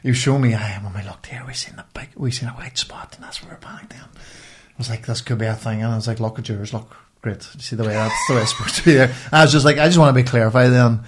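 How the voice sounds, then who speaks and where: A man's voice: medium pitch, fast, slight background noise. A man with a Northern Irish accent, co-hosting a podcast with casual, colloquial banter and storytelling, swearing as he goes. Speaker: podcast co-host.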